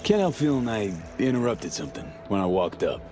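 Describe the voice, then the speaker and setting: Low, lively undercover mercenary. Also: male voice, a little fast, music in the background. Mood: sly. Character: smooth, laid-back male mercenary working undercover. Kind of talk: video game cutscene acting.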